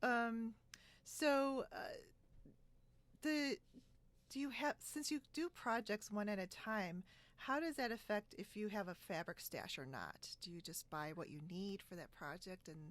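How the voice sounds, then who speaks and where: A woman hosting a podcast in a casual video-call chat, talking in a halting, giggly, chatty way. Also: medium pitch, lively intonation. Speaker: podcast host. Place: indoors on a split-screen video call.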